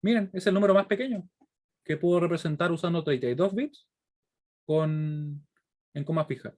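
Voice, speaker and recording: A male university lecturer with a Chilean accent and a slightly high-pitched voice. He explains in a didactic way, thinking through worked examples aloud. He keeps stopping to put short check-in questions to his students. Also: moderate pace, a little mellow.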